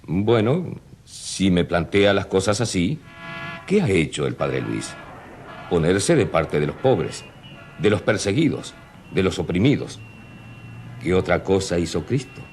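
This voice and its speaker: A man playing a discreet, dignified archbishop in a radio drama interview, speaking in a measured, urbane manner. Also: low voice, big pitch swings, a little mellow, soft background music, exaggerated voice.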